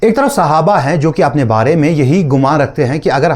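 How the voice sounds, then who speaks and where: A man's voice: a little high, expressive, fairly quick, darkish tone. A man hosting a commentary video in a casual, teasing and sarcastic way. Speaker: host of a video commentary channel. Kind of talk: casual mocking commentary on preacher clips.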